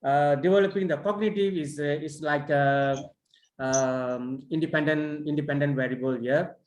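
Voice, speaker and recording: A man chairing an online conference session and giving feedback on a paper, halting and full of fillers and restarts. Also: fairly high pitch, fairly even intonation, slight background noise. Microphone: video call. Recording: roomy echo.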